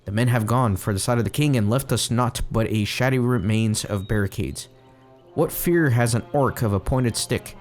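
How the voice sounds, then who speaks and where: A man reading the lines of a worried leader of a crowded refugee village, in a solemn, plain reading voice at middle pitch. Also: lightly acted. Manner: solemn, plainly read quest text.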